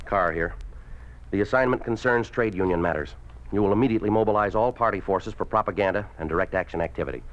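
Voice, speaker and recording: An American actor playing a cold, domineering Communist official in a 1950s radio drama, curt and commanding. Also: male voice, lowish voice, fast talker, lightly acted. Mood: brisk, commanding. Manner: curt, commanding, clipped orders. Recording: dull, lo-fi 1950s radio recording.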